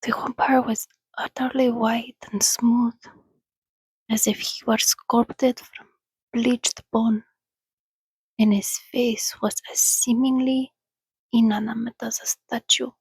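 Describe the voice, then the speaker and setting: A woman reading a story aloud in a soothing, breathy, soft-spoken ASMR style, often slipping into a whisper, close to the microphone. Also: a little high, no echo, poor audio. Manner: soothing, unhurried. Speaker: reader.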